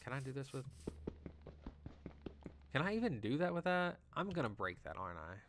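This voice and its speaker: A nasal-voiced man, still recovering from an illness, chatters through a game stream in an easygoing, excitable way. He sits at a middle pitch and fills his talk with "like" and "you know". Loud background noise runs under his voice the whole time. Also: moderate pace. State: just getting over an illness. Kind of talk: casual live gameplay commentary.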